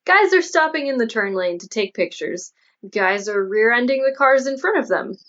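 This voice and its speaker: A woman's voice: a little high, animated, normal speed, fairly bright tone. A woman voicing a matronly older policewoman in an audiobook, giving motherly, admonishing advice. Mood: motherly warning. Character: matronly, cautioning older policewoman, veteran investigator. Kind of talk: audiobook character dialogue. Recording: dull lo-fi audio.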